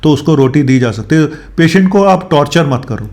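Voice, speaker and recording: A man's voice: on the high side, animated, moderate pace, a little mellow. A man speaking as a doctor on his health show, explaining informally with English medical terms mixed in and frequent tag questions.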